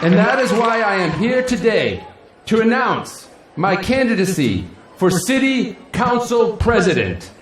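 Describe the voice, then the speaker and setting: A man acting a middle-aged, self-assured city politician in an audio drama, folksy and grandiose, with dramatic pauses. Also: high-pitched, sing-song. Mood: rousing, resolute. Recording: echoey hall, faint crowd and noise.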